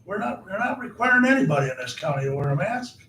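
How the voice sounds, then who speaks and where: Hoarse man chairing a meeting. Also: high-pitched, sing-song, fast talker. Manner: repeats himself to stress points, asks the room questions. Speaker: county board chair. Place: county board meeting room. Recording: echoey hall, far from the mic, background noise.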